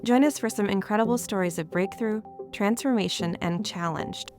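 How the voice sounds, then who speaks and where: A woman's voice: medium pitch, normal speed. A woman announcing a podcast's opening in a polished, upbeat scripted read. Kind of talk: scripted show intro. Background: music.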